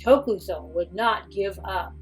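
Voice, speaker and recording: A woman giving a dramatic, deliberate storybook narration. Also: mid-range voice, faint music behind. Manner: slow, deliberate reading. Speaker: storybook reader.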